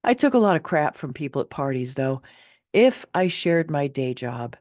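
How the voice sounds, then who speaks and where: A middle-aged woman reading her own personal essay as scripted memoir narration, expressive and candid, with wry touches and deliberate emphasis. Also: low voice, no echo, studio quality.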